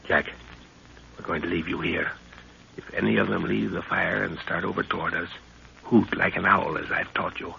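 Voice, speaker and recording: A seasoned middle-aged expedition leader in a vintage radio adventure. His voice is low and gravelly with a firm, forceful edge, and he gives measured orders with fatherly calm. The old 1930s recording is dull and a little noisy. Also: exaggerated voice. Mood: hushed command. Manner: measured, instructive, authoritative. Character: seasoned commanding jungle adventurer, fatherly, calm under danger. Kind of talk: 1930s radio drama acting.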